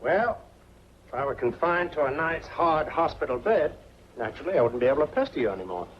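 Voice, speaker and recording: A man's voice: a little high, lively intonation, brisk, close to an everyday voice. An American actor playing a dogged, wry investigator on a man's trail in a 1940s film noir. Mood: wry, teasing. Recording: muffled, noisy 1940s film soundtrack.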